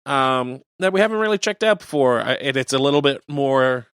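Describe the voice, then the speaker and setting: A man's voice: medium pitch, expressive, a little fast, fairly bright tone, clean tone, dry recording, clean studio sound. A man co-hosting community radio, chatting casually and reading out show listings. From Canada.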